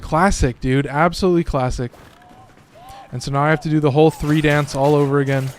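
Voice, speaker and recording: A man with a slightly hoarse, raspy voice, giving loose and profane casual commentary while streaming a game. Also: fairly high pitch, fairly even intonation, brisk, a little hiss or hum. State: a little hoarse. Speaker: gaming streamer.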